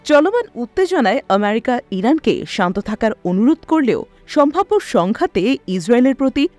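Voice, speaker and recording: Animated, higher-pitched woman reading news. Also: brisk. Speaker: news narrator. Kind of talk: scripted news narration. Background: background music.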